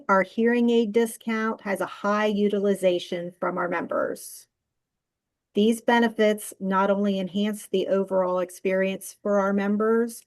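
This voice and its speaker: A woman's voice: medium pitch, darkish tone. A woman benefits associate giving a polished, scripted overview on a video call. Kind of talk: webinar presentation.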